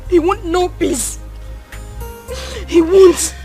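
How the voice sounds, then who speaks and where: A woman's voice: very high-pitched, very slow, warm tone, faint music behind, heavily acted. A young woman playing a king's abandoned daughter, tearful and defiant.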